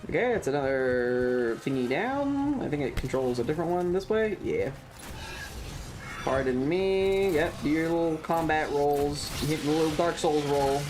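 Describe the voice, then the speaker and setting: A man's voice: on the high side, expressive, normal speed, a little hiss or hum. A man streaming a video game with casual live commentary, in a playful, sarcastic and theatrical manner. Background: video game ambience.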